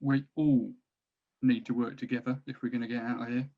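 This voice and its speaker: A man's voice: mid-range voice, flat delivery, a little fast, close to an everyday voice. The audio is a little dull and boxed in. A man acts out a weary orc war band leader with a mid-range voice, rallying his crew in a comic fantasy read-through. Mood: urgent, pleading. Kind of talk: fantasy comedy table read.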